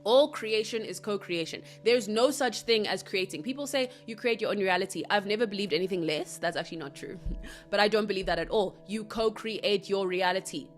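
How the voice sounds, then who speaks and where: Earnest woman musing aloud. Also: medium pitch. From South Africa. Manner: earnest and reflective, musing aloud with soft asides. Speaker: podcast host. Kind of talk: solo spiritual podcast monologue.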